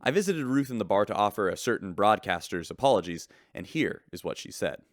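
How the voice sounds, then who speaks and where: An actor playing a tongue-in-cheek broadcaster in a sci-fi radio drama, narrating scripted news with theatrical announcer delivery. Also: male voice, lowish voice, expressive, fairly quick, no room sound, clean studio sound, close to an everyday voice. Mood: wry announcing. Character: smooth, tongue-in-cheek male space-station radio broadcaster.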